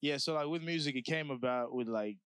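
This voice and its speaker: A man's voice: mid-range voice, fairly quick, fairly bright tone, clean tone, dry recording. A young man from South Sudan, talking about his music in a laid-back, slangy way, full of "like" and "you know". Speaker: rapper guest. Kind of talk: casual interview.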